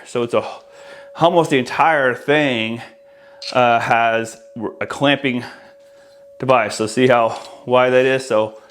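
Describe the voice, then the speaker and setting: A man's voice: medium pitch, fairly bright tone, a little hiss or hum. A man in his forties giving an informal, explanatory product walkthrough as an installer, casually addressing viewers. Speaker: audio installer presenting a product. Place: small room indoors, home office. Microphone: lavalier clipped on shirt.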